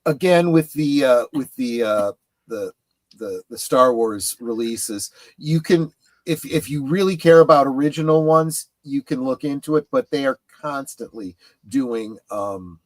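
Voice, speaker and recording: A middle-aged man in casual conversation on a livestream panel, speaking haltingly and often repeating words as he goes. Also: medium pitch, animated, dry recording. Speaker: panelist on a pop culture livestream. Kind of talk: casual chat about comics.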